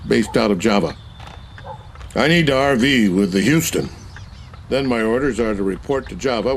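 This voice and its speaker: An actor playing a commanding, wry senior naval commander who talks in a clipped, authoritative way. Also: male voice, medium pitch, gravelly, a little hiss or hum, close to an everyday voice.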